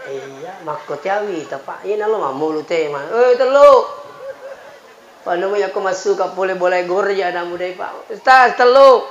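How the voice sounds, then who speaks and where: High-voiced Bugis preacher man, echoey sound. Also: expressive, normal speed. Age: 40s. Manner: folksy, bantering, repeats phrases to listeners. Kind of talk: humorous sermon mixing two languages. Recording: echoey, dull PA sound with crowd noise. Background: crowd murmur and light noise.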